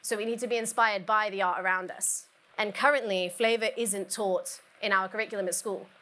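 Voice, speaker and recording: A woman's voice: medium pitch, steady tone, brisk, sharp tone. A young woman from the South West giving an earnest, chatty conference talk about bartending, with many fillers. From South West England. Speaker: bar education advisor. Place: conference stage.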